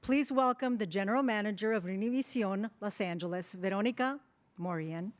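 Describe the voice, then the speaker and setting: A woman's voice: medium pitch, fairly quick. A woman presenting at an awards breakfast, reading honoree introductions in an upbeat way with small asides. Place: event hall at a breakfast banquet.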